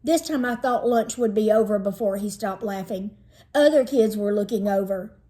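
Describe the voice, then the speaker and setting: A woman narrating a children's storybook in an expressive, dramatizing read-aloud style. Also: medium pitch, moderate pace.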